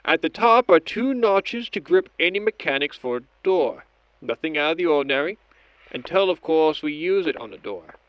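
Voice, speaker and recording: A man playing a methodical scientist in an audio drama, narrating each step of an experiment log in a measured, clinical way. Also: a little high, animated, no room sound, close to an everyday voice. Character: methodical male scientist doctor logging experiments on tape. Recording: dull lo-fi audio with background noise.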